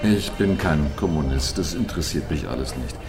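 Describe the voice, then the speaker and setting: An actor playing a pompous, blunt middle-aged publishing boss in a radio play. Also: male voice, a bass voice, lively intonation, normal speed. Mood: brusque. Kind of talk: German radio play dialogue. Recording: music behind the voice. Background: music.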